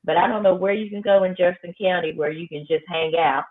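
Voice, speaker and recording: A woman high school principal, talking firmly and emphatically in a casual interview. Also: fast talker. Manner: emphatic, firm, repeats phrases for effect. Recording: tinny phone-like line.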